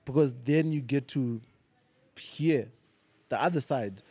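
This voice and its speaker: A man, a comedian guest chatting casually and giving strong opinions with animated, storytelling delivery. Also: mid-range voice, slow, husky, no echo. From Zimbabwe. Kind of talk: casual conversational interview. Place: lounge venue.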